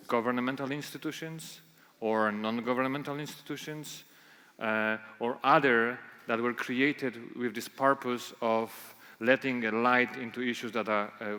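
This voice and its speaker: Polish-accented male speaker, mid-pitched, hesitant, lots of restarts, echoey conference hall. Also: sharp tone. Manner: halting, many fillers and restarts. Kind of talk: conference talk. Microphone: handheld or stage microphone.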